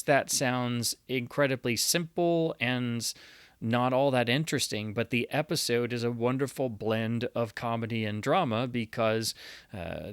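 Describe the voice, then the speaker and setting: A man's voice: mid-range voice, clean tone, no echo, clean studio sound. A man delivering review commentary in an earnest, articulate, enthusiastic way, as a critic talking through a subject he likes. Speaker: reviewer, radio series critic. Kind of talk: review commentary, semi-scripted monologue.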